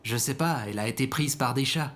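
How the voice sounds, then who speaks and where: A man's voice: mid-range voice, brisk, fairly bright tone, slight background noise, natural voice. Actor as an immature, hapless, hesitant man. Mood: defensive, sheepish. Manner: hesitant, stammering, naive. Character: immature, hapless man, defensive and self-absorbed. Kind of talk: audio fiction comedy-drama acting.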